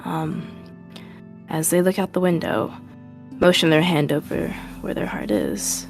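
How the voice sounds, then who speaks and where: A woman's voice: deep voice, lively intonation, unhurried, gravelly, faint music behind. A woman playing a tabletop game, improvising narration of a character in a hesitant, reflective way. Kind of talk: improvised third-person roleplay narration.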